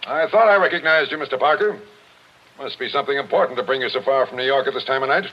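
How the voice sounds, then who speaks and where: An actor playing a skeptical, folksy police captain in a 1940s radio drama, easygoing and colloquial but probing. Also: male voice, medium pitch, fast talker, close to an everyday voice. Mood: wry, easygoing. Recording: muffled, noisy 1940s radio recording.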